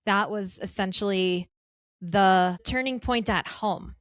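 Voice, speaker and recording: A woman's voice: mid-range voice, no echo. Upbeat chatty woman, dry sound. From United States. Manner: chatty, upbeat, quick asides. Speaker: podcast guest, travel host. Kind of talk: casual interview conversation.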